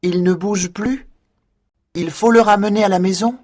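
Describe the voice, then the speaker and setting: A woman voicing a worried mouse in a storybook, her voice a little hushed. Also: medium pitch, moderate pace, no room sound, clean studio sound, close to an everyday voice.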